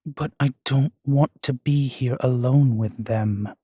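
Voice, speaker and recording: A man's voice: medium pitch, slow, dark tone, slightly rough, dry recording, clean studio sound. A man playing a grumpy, jealous ghost boyfriend in an audio roleplay, with a sardonic, sullen manner. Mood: sullen, pleading.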